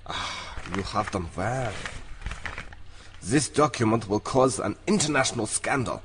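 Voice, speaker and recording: An actor playing a menacing German spymaster in a comic audio drama, sinister and deliberate, with a German accent. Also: male voice, on the low side, expressive, fairly bright tone, slight background noise. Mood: satisfied, gloating.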